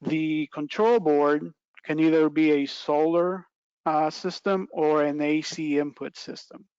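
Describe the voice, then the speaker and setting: Smooth-voiced man presenting, fairly even range. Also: fairly high pitch, unhurried, no echo, lo-fi sound. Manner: explanatory, checks in with "right" and "okay". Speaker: product trainer presenting a webinar. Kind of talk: informal product presentation over slides. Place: small plain indoor room, video call.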